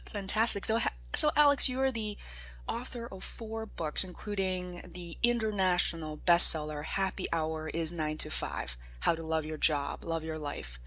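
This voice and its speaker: A woman's voice: lowish voice, steady tone, normal speed, sharp tone, dry recording. Upbeat woman hosting a podcast. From China. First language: Chinese. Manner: enthusiastic, chatty, laughs often. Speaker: podcast host. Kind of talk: podcast interview.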